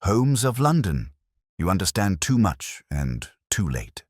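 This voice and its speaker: Man as a sinister, hoarse poisoner. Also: low-pitched, very animated, measured pace, dry recording, clean studio sound, voice pushed up, full character voice. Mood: menacing. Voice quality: hoarse, breathy. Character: sinister, hoarse male poisoner, bitter and menacing.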